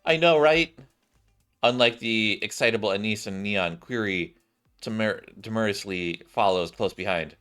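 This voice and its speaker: Male streamer narrating game cutscenes, bright forceful tone, rereads fumbled lines, over background music. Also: lowish voice, fairly even intonation, normal speed, clean tone. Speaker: streamer reading game dialogue aloud. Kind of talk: dramatic read-aloud of game cutscenes.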